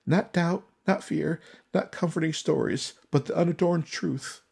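A man voicing an earnest, frightened but determined young woman scholar in a fantasy audiobook, breathy and urgent. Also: on the high side, a little slow, darkish tone, voice pushed up, heavily acted. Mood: pleading, resolute.

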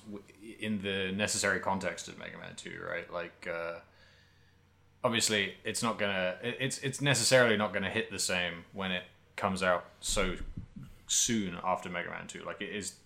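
Low-pitched man, bright casual podcast voice. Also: normal speed, creaky. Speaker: podcast co-host. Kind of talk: casual video game discussion podcast.

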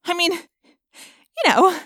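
Woman acting a fiery, high-pitched teenage girl. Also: a little fast, dry recording, studio quality, close to an everyday voice. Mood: exasperated, angry. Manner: emphatic, confrontational, colloquial. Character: fiery, sarcastic, defiant teenage girl under great strain.

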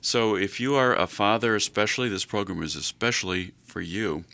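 An older man hosting a radio talk show, chatting slowly in a folksy way with many fillers. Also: lowish voice, gravelly, sharp tone.

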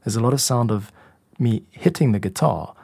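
A man's voice: medium pitch, moderate pace, creaky, a little mellow, slightly rough, no echo. A man giving an informal, instructive tutorial as a music producer and podcast host. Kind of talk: explanatory tutorial narration.

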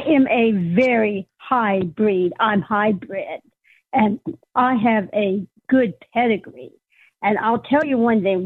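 A woman's voice: fairly high pitch, breathy edge, no echo. A woman voicing a proud, scheming pedigree farm animal in an improvised comic story. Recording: muffled phone-like audio.

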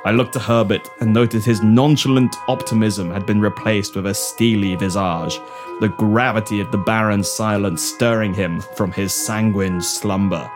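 A man's voice: lowish voice, steady tone, moderate pace, music in the background, pitched below the performer's own voice, lightly acted. A man playing a pompous, melodramatic British Prime Minister in a florid, mock-serious audio drama. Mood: grave, portentous.

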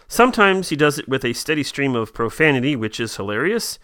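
Lively, bright-voiced male podcast host. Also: medium pitch, no echo, studio quality. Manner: quick, lively retelling with asides. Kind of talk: casual chat, recapping an episode.

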